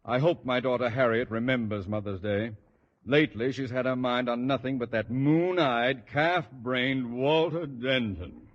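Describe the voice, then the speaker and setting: A man's voice: medium pitch, unhurried, lo-fi sound, natural voice. A man playing a pompous, blustering middle-aged school principal in a 1950s radio sitcom, firing off haughty insults.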